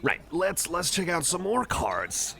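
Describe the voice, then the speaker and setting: A man's voice: a little high, sing-song, slow pace, gravelly, bright, forceful tone, pitched above the performer's own voice, exaggerated voice. A man voicing a shy, nerdy, eager cyborg card collector in an ASMR roleplay, chatting casually in a hesitant, rambling, apologetic way. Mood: nerdy enthusiasm. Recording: cafe crowd murmur behind the voice.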